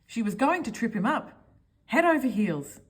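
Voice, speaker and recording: A woman's voice: on the high side, big pitch swings, moderate pace. A woman reading a children's picture book aloud, expressively, as if speaking directly to young listeners. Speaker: storyteller.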